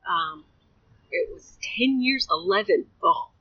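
A woman's voice: mid-range voice, animated, slow pace, bright, forceful tone, highs cut off. A woman guest whose first language is Spanish, chatting in a casual interview and often laughing at herself. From United States. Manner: chatty, laughing, self-deprecating.

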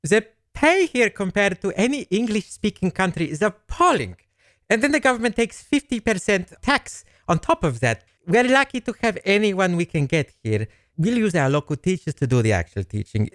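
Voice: mid-range voice, fairly bright tone, no echo, clean studio sound. An actor playing a flustered, polite foreign manager of a language school, hesitant and stammering in a comedy drama.

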